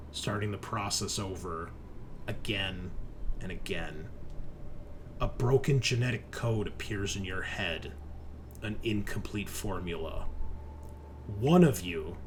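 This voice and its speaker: A man with a fairly low voice runs a horror tabletop game as game master. He speaks slowly and deliberately, with a dramatic storyteller's delivery. There is clear noise behind him.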